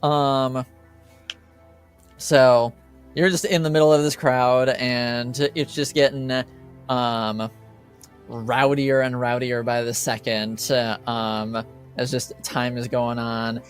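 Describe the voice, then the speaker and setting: Male game master, tabletop session. Unhurried, deliberate, halting delivery with a clean resonant tone. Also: medium pitch, level tone, smooth, faint music behind. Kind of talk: narrating a tabletop roleplaying game.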